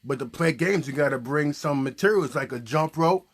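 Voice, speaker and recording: A man hosting an improvised children's storytelling puppet show, casually thinking aloud in a halting, questioning way. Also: on the high side.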